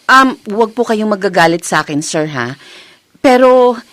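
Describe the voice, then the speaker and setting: A woman's voice: medium pitch, expressive, normal speed, fairly bright tone, no room sound, lightly acted. A woman acting a resentful, scheming office employee in a drama, speaking with a Filipino accent. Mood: deferential, probing. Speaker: actor in a short drama. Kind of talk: acted office drama dialogue in Tagalog and English.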